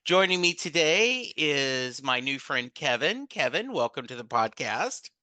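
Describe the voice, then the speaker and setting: Very bright, smooth-voiced male podcast host. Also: medium pitch, big pitch swings, no room sound. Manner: upbeat, enthusiastic, quick to react. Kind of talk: casual interview.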